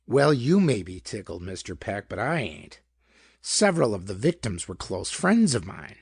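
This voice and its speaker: A folksy small-town lawman acted by a male narrator from a pulp audiobook. He is plain-spoken and worried, explaining things in slangy, colloquial phrases with a rough, creaky voice and lively pitch. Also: moderate pace, dry recording, clean studio sound, full character voice. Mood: uneasy.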